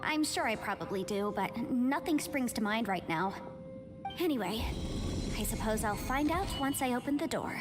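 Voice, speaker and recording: A woman acts out an earnest young legal advisor from a video game. Her high voice is thoughtful and animated. Music plays clearly behind her. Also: moderate pace, lightly acted. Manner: thoughtful, articulate.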